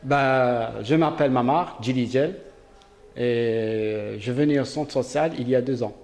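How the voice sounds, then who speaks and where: A man's voice: medium pitch, measured pace, a little mellow. Algerian-accented man in a reverberant hall. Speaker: language student and interviewer. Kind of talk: prepared interview.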